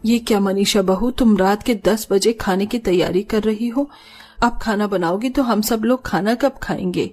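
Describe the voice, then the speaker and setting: A woman voicing a patient, concerned middle-aged mother-in-law who reproaches gently in a moral story. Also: medium pitch, moderate pace, close to an everyday voice. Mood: mildly reproachful. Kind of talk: animated moral story dialogue.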